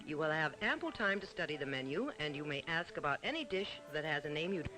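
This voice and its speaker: A man's voice: fairly high pitch, big pitch swings, fast, sharp tone. A man narrates an old instructional film in a formal, didactic way, on a vintage film soundtrack. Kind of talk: mid-century instructional film narration, scripted. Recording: old 1950s-60s film soundtrack with music.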